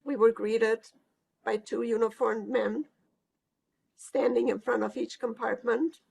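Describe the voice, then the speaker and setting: Slow, gravelly-voiced elderly woman. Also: on the high side, fairly even intonation, darkish tone, rough recording. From Hungary. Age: older. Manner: slow, reflective, hesitant with pauses and fillers. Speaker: Holocaust survivor giving testimony. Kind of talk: recorded oral history interview.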